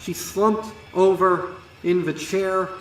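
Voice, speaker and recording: A man voicing a young female pony student, haunted and guilt-ridden, recounting horror in a dramatic, deliberate way. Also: sits high, steady tone, pitched above the performer's own voice. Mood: grieving. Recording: echoey, distant, music behind.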